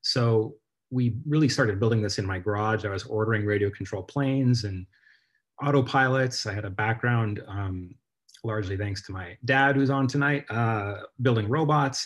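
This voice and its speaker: Man, guest author on a video call, low voice, measured and earnest storytelling.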